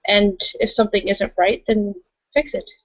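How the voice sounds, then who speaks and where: A woman's voice: medium pitch, normal speed, no room sound, lo-fi sound. A woman playing in an improvised tabletop roleplay, speaking in character and narrating in a casual, understated way. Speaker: roleplay player.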